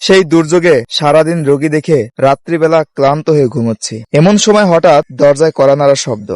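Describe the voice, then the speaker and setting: A man's voice: on the high side, fast, a little mellow, lightly acted. A man acting a troubled village doctor who narrates his own ghost story in a scripted, suspenseful horror style. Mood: grave, suspenseful.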